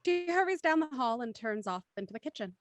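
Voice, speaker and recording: Calm radio play narrator lady, dry close mic, woman voicing it. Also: a little high, no room sound, lightly acted. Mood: measured. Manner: measured, descriptive. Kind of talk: scripted narration. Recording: dry close studio sound.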